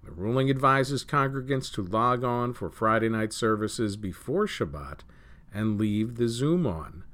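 A man hosting a news program, reading scripted items aloud in a measured, formal manner. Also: medium pitch, a bit bright. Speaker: news reader and show host.

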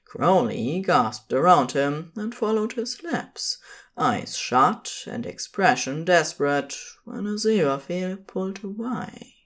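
A woman narrates fiction in a low voice. She takes her time over each phrase, and her intonation rises and falls widely in a dramatic storytelling style. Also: lively intonation, slow pace.

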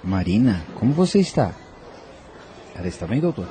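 A mellow, low-voiced Brazilian man played by an actor in a radio soap. He is tender and weighed down by guilt, with faint noise behind him. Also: big pitch swings, moderate pace, a faint crowd. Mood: concerned. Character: guilt-ridden man, tender, troubled and longing.

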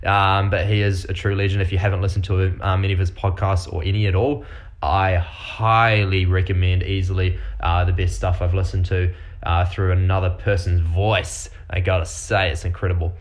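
A young man with a New Zealand accent talks on his own podcast in a low voice. He is eager and upbeat but rambles, doubling back on words and filling gaps with ums and uhs. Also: flat delivery, moderate pace. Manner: rambling, enthusiastic, many fillers and restarts. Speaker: podcast host. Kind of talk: casual solo podcast talk.

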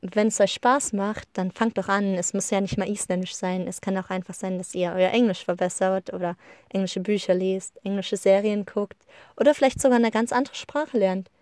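A woman's voice: mid-range voice, fairly even intonation, normal speed, a little mellow, clear, resonant tone, dry recording. Chatty young woman podcaster, dry sound. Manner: chatty, rambling, self-correcting, encouraging. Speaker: podcast host. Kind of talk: unscripted personal podcast monologue. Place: living in Iceland.

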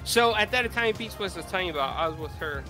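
A man's voice: high voice, lively intonation, racing, music in the background. An American man chatting casually and telling anecdotes as a talk show host. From United States.

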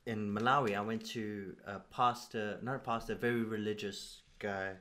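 Guy hosting a podcast, lowish voice, just chatting and thinking out loud, pretty informal. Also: fairly even intonation, normal speed. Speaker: podcast host. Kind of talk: casual podcast conversation.

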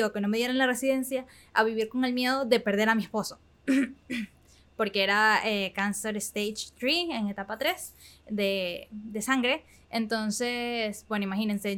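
Young Venezuelan woman hosting a personal podcast, talkative and open, pitched a little high. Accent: Venezuelan Spanish. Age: 20s. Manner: candid, chatty, with frequent fillers and soft laughs. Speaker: podcast host. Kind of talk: casual personal monologue.

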